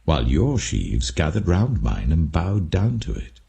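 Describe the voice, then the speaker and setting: A man's voice: a bass voice, huge pitch swings, a little slow, gravelly, warm tone, slightly rough, exaggerated voice. A man voicing an eager, earnest young dreamer son in a dramatic reading of a Bible story.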